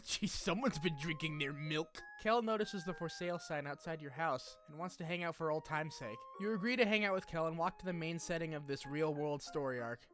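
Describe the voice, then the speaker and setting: A man with a slightly high voice narrates a game recap over music. His delivery is scripted but informal, slipping into wry, slangy asides. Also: fairly quick, fairly bright tone. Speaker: video essay narrator. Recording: music under the voice.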